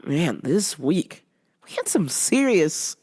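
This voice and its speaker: A young woman whose voice is low and recovering, telling a work story in a profane, animated storytime rant. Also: slow pace, slightly rough. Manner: profane, animated, laughs a lot. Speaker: vlogger and retail cashier.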